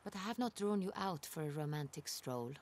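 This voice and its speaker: A gracious yet imperious duchess from a fantasy game. Her voice sits on the low side. She speaks with formal poise, as one used to giving orders. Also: lively intonation, a little fast, darkish tone. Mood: commanding. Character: regal, gracious, commanding female duchess. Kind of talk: video game cutscene dialogue.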